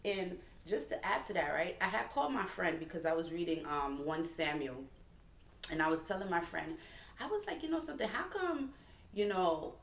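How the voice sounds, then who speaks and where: A woman with a Haitian accent and a slight lisp, co-hosting a casual chat and telling stories in an animated way. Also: fairly low pitch, moderate pace. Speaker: co-host. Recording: echoey room from far off.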